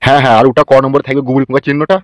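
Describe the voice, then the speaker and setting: A man's voice: mid-range voice, little pitch movement, very fast, no room sound, lightly acted. A man voicing a sceptical, bargaining village man in a blunt, doubtful way for a comic cartoon. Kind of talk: fast comic cartoon dialogue.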